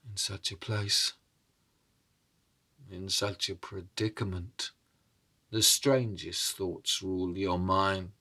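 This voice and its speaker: Slow, deep-voiced dramatic male storyteller. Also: flat delivery, bright, forceful tone, slightly rough. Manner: measured, theatrical, suspenseful pauses. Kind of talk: dramatic oral storytelling over music. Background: instrumental music.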